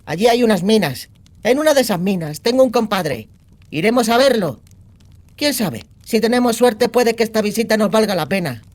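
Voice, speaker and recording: A woman's voice: fairly high pitch, animated, moderate pace, darkish tone. A woman playing a foul-mouthed, cynical young outlaw girl in a fantasy audiobook, talking in a crude, sardonic, slangy way. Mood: brash, scheming. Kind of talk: acted audiobook dialogue.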